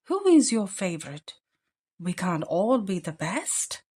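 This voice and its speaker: A woman's voice: mid-range voice, very animated, takes it slowly, dark tone, breathy edge, heavily acted. A woman voicing a small, anxious, wistful baby bear in a children's storybook read-aloud. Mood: anxious questioning.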